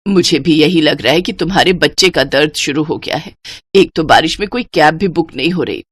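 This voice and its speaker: A woman voicing an older mother in an animated story, speaking in a lower, softer voice than her own. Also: a little fast, breathy edge, no room sound. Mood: worried. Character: older mother, gentle and fond.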